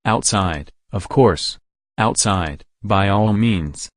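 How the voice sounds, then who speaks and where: An actor playing a kindly, twinkling old Santa Claus in a 1940s radio drama, speaking gently with coaxing, hesitant pauses. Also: male voice, lowish voice, dry recording, clean studio sound. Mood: friendly, coaxing. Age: older.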